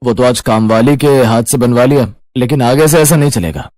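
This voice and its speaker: A man's voice: medium pitch, moderate pace, darkish tone, no room sound, lightly acted. A man voicing a dutiful young married son with an earnest, explanatory manner in a cartoon moral story. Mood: apologetic, earnest.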